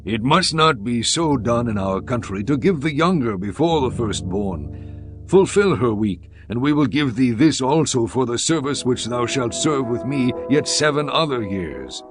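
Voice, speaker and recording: A man's voice: medium pitch, animated, a little slow, music in the background, close to an everyday voice. A man voicing a shrewd older kinsman in a dramatized scripture reading, formal and persuasive. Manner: formal, persuasive, archaic diction. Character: shrewd older male kinsman and host, bargaining.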